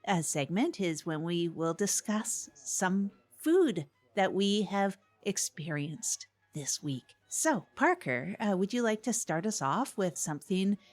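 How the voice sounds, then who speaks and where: A woman playing an earnest, gushing small-town community radio host in a comedy show, a little flustered and halting in her phrasing. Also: medium pitch, sing-song, faint music behind. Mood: cheery, hosting. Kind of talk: improvised mock public access radio chat.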